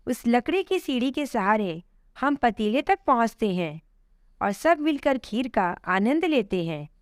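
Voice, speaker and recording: A woman's voice: fairly high pitch, very animated, a little slow, no room sound, clean studio sound. A woman voicing contented, cheerful dwellers of heaven, explaining things in an upbeat storybook manner.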